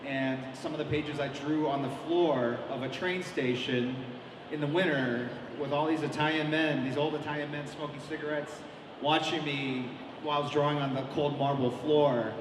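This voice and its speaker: A middle-aged comic artist answering interview questions at a convention, with many ums and restarts. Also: male voice, on the high side, steady tone, moderate pace. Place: large convention hall. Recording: echoey hall, mic at a distance, crowd noise.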